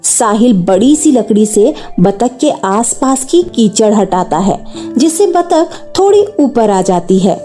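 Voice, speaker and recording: A woman's voice: fairly high pitch, sing-song, unhurried, dark tone, faint music behind. A woman narrating a scripted children's story.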